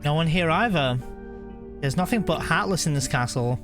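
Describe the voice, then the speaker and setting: A man live streaming a video game, chatting casually and reading the on-screen text aloud in a halting way, often correcting himself. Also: on the high side, animated, over music.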